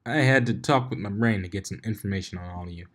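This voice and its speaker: A man voicing a wry young male pony who talks in a dry, deadpan way. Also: lowish voice, fast, natural voice.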